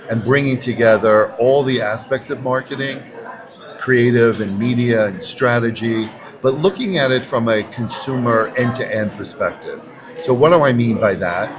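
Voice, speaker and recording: A man in his sixties speaking earnestly and conversationally as a guest in a podcast interview. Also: mid-range voice. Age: 60s. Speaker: interview guest, advertising agency chief executive. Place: podcast booth at a busy conference. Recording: crowd chatter around the voice. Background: crowd chatter.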